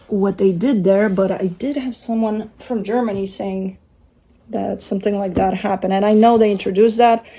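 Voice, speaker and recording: A woman's voice: medium pitch, creaky, warm tone, husky. A woman giving a casual tarot reading at home, talking in a chatty, rambling way with blunt asides. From Romania. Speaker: tarot reader. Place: home by a window with a bird feeder.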